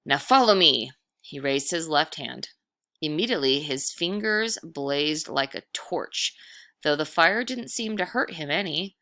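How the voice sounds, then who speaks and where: A woman storyteller with a low, creaky voice reads aloud at an unhurried pace. Her narration is expressive and dramatic, made for children, with certain words drawn out for effect.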